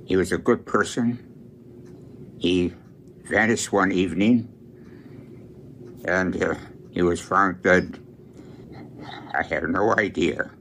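A man over a hundred years old, a priest, reminiscing in an interview in plain, halting short phrases. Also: sits low, fairly bright tone. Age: over 100. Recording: noisy on-location interview recording.

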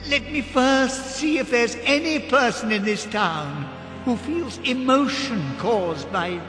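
An old miser pleads in terror in a radio drama. His voice is high, slow and tremulous, heavily echoed with music beneath. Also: a bit bright. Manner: tremulous, halting, beseeching. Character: frightened, pleading elderly male miser facing spirits. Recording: echoey reverberant studio effect under music.

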